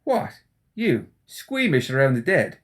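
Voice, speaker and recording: A man voicing a cocky, teasing gentleman rogue necromancer in an audiobook, with folksy, slangy, mocking talk. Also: mid-range voice, wildly swooping pitch, slow, pitched above the performer's own voice. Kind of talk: audiobook character acting.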